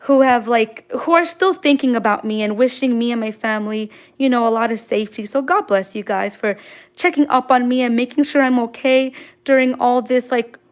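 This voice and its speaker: A woman's voice: fairly high pitch, a little fast. A woman from Queens, chatting casually and effusively on a livestream as she answers viewer comments, full of exclamations. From Queens, New York City, United States. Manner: gushing, effusive, sprinkled with exclamations. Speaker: manga artist hosting a drawing livestream.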